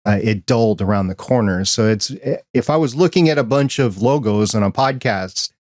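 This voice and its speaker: A man's voice: fairly low pitch, moderate pace, a little mellow, no room sound, clean studio sound. A man hosting a podcast interview, asking friendly, curious questions in a casual chat. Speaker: podcast host.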